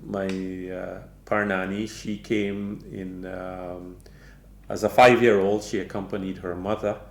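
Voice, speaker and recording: Slow, gravelly-voiced older man. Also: deep voice, level tone, reverberant. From Guyana. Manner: slow, measured, halting with many fillers. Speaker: guest. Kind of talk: interview conversation.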